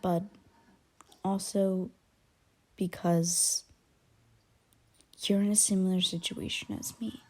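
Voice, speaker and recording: A woman playing an aloof, grudgingly caring young werewolf in an ASMR roleplay, soft and breathy with whispered dips and blunt, guarded, halting delivery. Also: on the low side, flat delivery. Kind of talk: ASMR roleplay, intimate one-sided character dialogue.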